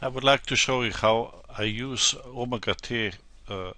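A man with a Slovenian accent, a native Slovenian speaker, giving an unscripted software tutorial in a halting, hesitant way. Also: medium pitch, no echo. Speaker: translator demonstrating software.

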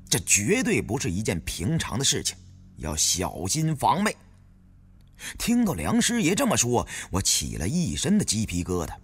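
A man's voice: mid-range voice, fairly bright tone, a little hiss or hum. A man narrating an audiobook as a dramatic first-person storyteller.

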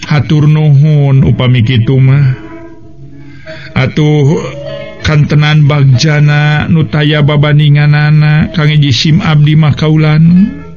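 A man voicing a gentle, deferential queen in a courtly, soft-spoken way. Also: fairly high pitch, little pitch movement, takes it slowly, long pauses, muffled recording, faint music behind, voice pushed up.

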